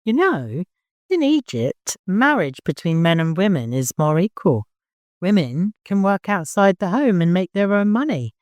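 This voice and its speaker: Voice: mid-range voice, big pitch swings, unhurried, darkish tone, no echo, voice pushed up. A narrator voicing a wistful, worldly woman in an audiobook, speaking earnestly and with emotion.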